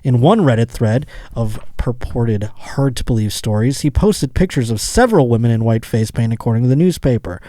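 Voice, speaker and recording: A male podcast host with a mid-pitched voice, recorded close and dry. He moves between reading news aloud and casual, sardonic riffing, peppered with swearing. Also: lively intonation, normal speed, few pauses, mellow, no echo. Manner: sardonic, riffs with mocking asides and profanity.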